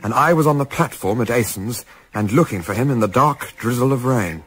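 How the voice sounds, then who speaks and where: Casting: male actor as astute Edwardian gentleman spy, formal and composed, lively intonation, radio drama with music beneath. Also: medium pitch, brisk, natural voice, low-quality recording. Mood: sombre, reflective. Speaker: narrator and lead character. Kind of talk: radio play acting with first-person literary narration.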